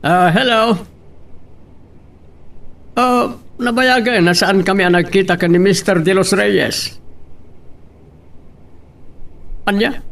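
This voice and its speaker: A man acting in an Ilocano radio drama as a guarded businessman and father, speaking haltingly. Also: sits high, fairly even intonation, gravelly, fairly bright tone, far from the mic, heavily acted.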